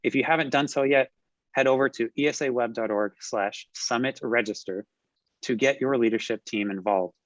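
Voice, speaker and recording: A man's voice: mid-range voice, moderate pace, no echo. Man reading webinar announcements, dry and muffled. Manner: smooth, reading from a script. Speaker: webinar moderator. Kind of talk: scripted announcements and speaker introduction.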